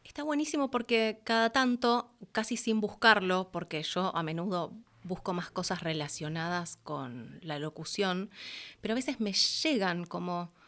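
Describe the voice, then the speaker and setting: A woman's voice: lowish voice, a little slow, a bit bright. A woman with an Argentine Rioplatense accent telling stories conversationally as an interview guest, acting out bits of dialogue as she goes. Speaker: interview guest, voice-over artist and producer. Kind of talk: casual conversational storytelling.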